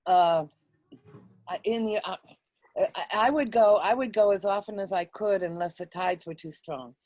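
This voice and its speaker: A woman speaking in a reflective, halting way, as in reading a poem or recalling personal experiences. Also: medium pitch, darkish tone, no room sound.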